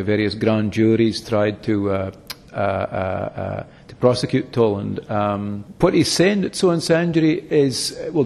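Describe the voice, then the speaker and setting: Halting academic, gravelly, echoey. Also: male voice, medium pitch, darkish tone. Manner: halting, frequent restarts and self-corrections. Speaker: philosophy lecturer, interview guest. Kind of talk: explanatory academic interview answers. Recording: long reverb, echoey room.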